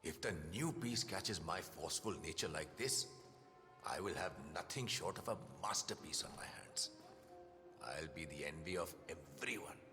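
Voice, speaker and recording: An actor playing a vain, self-important wealthy art patron with an Indian accent, pompously mixing Hindi phrases into English. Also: male voice, deep voice, animated, moderate pace, bright, forceful tone. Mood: pompous, admiring. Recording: long reverb, music behind.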